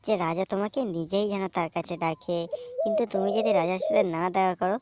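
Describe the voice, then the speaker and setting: A woman's voice: mid-range voice, fast, natural voice. A woman voicing a young daughter bird in a cartoon, urging her mother on. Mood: anxious. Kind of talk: cartoon voice acting. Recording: very noisy track with clicks.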